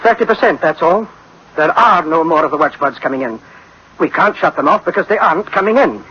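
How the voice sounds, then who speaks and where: An actor playing a worried, guilt-ridden scientist in a science fiction radio drama, speaking in tense, clipped phrases. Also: male voice, on the high side, expressive, brisk, pushed and bright, slightly rough, exaggerated voice. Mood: desperate. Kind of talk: dramatic sci-fi radio acting. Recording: dull, faintly noisy old radio recording.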